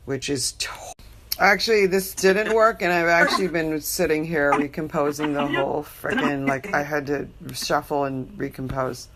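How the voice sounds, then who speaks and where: A woman chats candidly into a casual social media vlog. She is opinionated and emphatic in how she talks. The recording is handheld, with clear ambient noise around her. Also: low voice, fairly even intonation, normal speed, fairly bright tone. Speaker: vlogger filming social media stories. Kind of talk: casual chatty phone vlog narration. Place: on the go, around a tennis centre.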